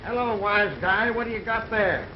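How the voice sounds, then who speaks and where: A man's voice: high-pitched, moderate pace, noisy background, natural voice. An actor playing an impatient, sceptical railroad man in a 1930s film comedy. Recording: dull 1930s film soundtrack, music behind.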